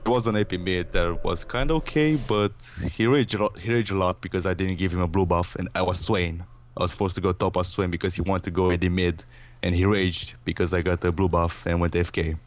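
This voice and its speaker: A man's voice: low voice, steady tone, brisk, a little hiss or hum. A man co-commentating a video game casually, with a dry, sarcastic way of talking. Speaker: gaming co-commentator.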